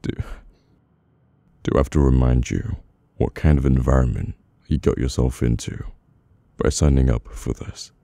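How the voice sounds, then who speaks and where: A man with a British accent playing a brooding, domineering, burdened mafia boss in an intimate, halting ASMR audio roleplay. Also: fairly even intonation, warm tone, no echo, clean studio sound, full character voice. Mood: cold, dismissive. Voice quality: very deep, gravelly. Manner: slow, halting, weary menace.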